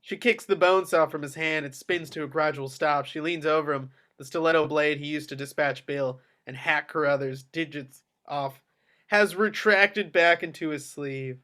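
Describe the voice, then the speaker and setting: A man's voice: on the high side, moderate pace, sharp tone. A man narrating a screenplay's action descriptions at a table read. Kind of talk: screenplay stage-direction reading.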